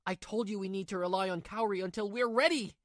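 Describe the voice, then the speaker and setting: A woman's voice: medium pitch, quick pace, no room sound, studio quality, natural voice. A woman voicing a weak, cheating husband in a manga dub, stammering and pleading. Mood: scolding.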